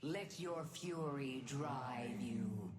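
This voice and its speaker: An ancient-feeling matriarch from a video game, solemn and commanding. She speaks very slowly in a low female voice, with a strong echo around every grave, deliberate phrase. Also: lively intonation, a loud crowd, full character voice. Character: solemn godlike matriarch announcer praising her warriors. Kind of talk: video game character voice lines.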